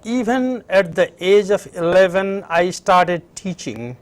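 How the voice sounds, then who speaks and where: A middle-aged man from Nepal with a Nepali accent, answering interview questions conversationally in a halting way and repeating phrases. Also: high voice. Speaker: interview guest, university professor. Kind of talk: conversational interview, recounting own background.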